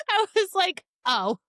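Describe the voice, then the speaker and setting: A woman's voice: extremely high pitch, fairly bright tone, dry recording, clean studio sound. A woman co-hosting a book podcast, chatting and reacting informally with giggly interjections. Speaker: podcast co-host. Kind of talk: casual chat reacting to a book.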